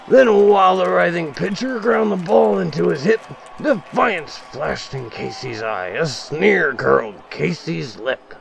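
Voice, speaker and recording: A man reads a classic poem as a theatrical recitation, emphatic, moving between loud declaiming and hushed, drawn-out phrases. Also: fairly high pitch, expressive, gravelly. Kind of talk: theatrical dramatic poetry recitation. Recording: music and crowd noise behind. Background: music and crowd sounds.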